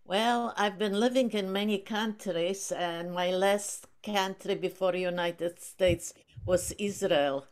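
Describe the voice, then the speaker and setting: Polish-accented woman guest, unhurried, stop-start delivery over a video call. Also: medium pitch, steady tone. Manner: halting, many restarts and fillers. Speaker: book group guest speaker. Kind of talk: casual video call chat.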